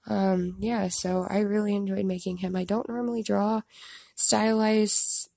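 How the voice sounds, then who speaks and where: A young woman with a stuffed-up nose, casually talking through her drawings into a phone and poking gentle fun at her own work. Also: medium pitch, flat delivery, a little slow, vocal fry, no echo, studio quality. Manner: self-deprecating, rambling with restarts. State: stuffed up, congested. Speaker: artist showing her drawings. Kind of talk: casual narration of a drawing time-lapse. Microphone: phone camera.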